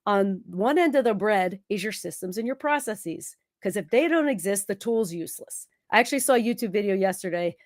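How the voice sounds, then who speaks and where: Woman w a cold, sniffly, rambly chatty host, dry close sound, mid pitch. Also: lively intonation, no room sound. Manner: chatty, self-interrupting, many quick yeses. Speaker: host, productivity consultant. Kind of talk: live stream Q&A chat.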